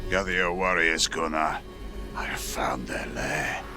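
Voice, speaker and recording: Slow, gravelly Norse warrior. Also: male voice, rumbling low, lively intonation, over music, heavily acted. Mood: grim resolve. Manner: deliberate, decisive. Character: grim, resolute male Norse warrior. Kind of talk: dramatic game acting.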